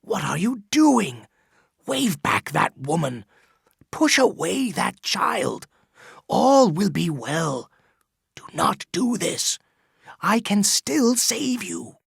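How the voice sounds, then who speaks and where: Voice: medium pitch, sing-song, slow, gravelly, husky, no room sound, studio quality, pitched above the performer's own voice, heavily acted. A storyteller voicing a scheming old male physician who pleads and sneers in an urgent, hissing whisper.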